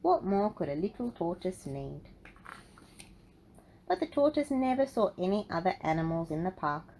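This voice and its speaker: A woman with a slightly low voice reads a picture book aloud for children. Her storytelling is expressive and deliberate, and the recording carries clear background noise.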